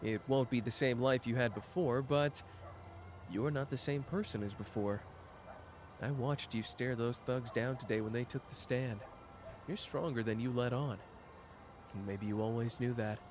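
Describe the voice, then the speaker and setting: A man's voice: medium pitch, moderate pace, slight background noise, close to an everyday voice. A man voicing a playful, theatrical young man who teases and plays up his suffering, in an ASMR roleplay. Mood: gentle. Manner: soft, teasing and theatrical.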